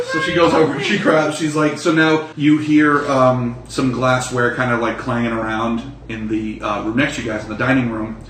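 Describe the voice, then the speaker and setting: A man's voice: medium pitch, steady tone, moderate pace, few pauses, fairly bright tone, slight background noise. Game master, male, casual joking narration with swearing, roomy distant pickup, music underneath. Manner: profane, joking. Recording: echoey room, distant mic, music.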